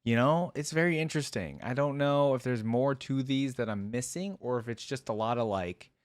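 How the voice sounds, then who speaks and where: Very low-voiced woman musing casually. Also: normal speed, darkish tone. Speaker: reaction video host. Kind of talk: casual off-the-cuff reaction commentary.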